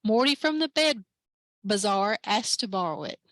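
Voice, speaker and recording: A woman's voice: mid-range voice, lively intonation, normal speed, a bit bright, no echo, lightly acted. A woman reading aloud voices a matter-of-fact handyman shop owner in a picture book.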